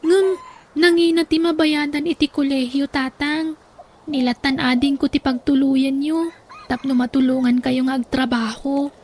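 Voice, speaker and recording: Woman as a very high-voiced dutiful elder daughter. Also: fairly even intonation, moderate pace, a little mellow, slight background noise, natural voice. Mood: earnest, pleading. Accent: Ilocano. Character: dutiful, caring elder daughter, young girl. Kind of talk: Ilocano radio drama acting.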